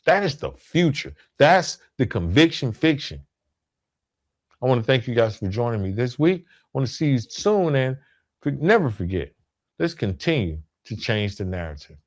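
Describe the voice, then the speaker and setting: Man, medium pitch, podcast host, motivational monologue, emphatic, deliberate phrasing, rhetorical questions. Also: sing-song.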